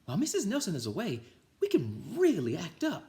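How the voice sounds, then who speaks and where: A man voicing excitable, mischievous schoolchildren in a storybook, exclaiming in a childlike way. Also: high-pitched, brisk, voice pushed up. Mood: cheeky.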